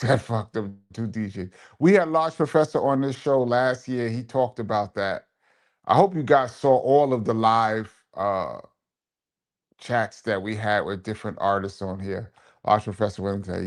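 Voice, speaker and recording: A man hosting a live stream as a DJ, chatting casually as he reads out viewer comments and reminisces, giving shout-outs and repeating phrases for emphasis. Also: lowish voice, normal speed.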